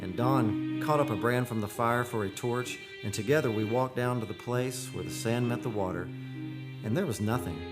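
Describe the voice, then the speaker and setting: A man playing a wistful, dreamy photographer narrating an eerie seaside tale in a measured, poetic radio drama style. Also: medium pitch, loud music behind the voice, close to an everyday voice. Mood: hushed, eerie. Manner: measured, poetic, solemn delivery. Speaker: actor, narrator.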